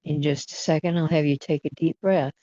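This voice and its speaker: A person leading a guided imagery meditation in a soothing manner, with long pauses between short phrases. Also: medium pitch, fairly even intonation, warm tone, dry recording. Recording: dull, dry lo-fi recording.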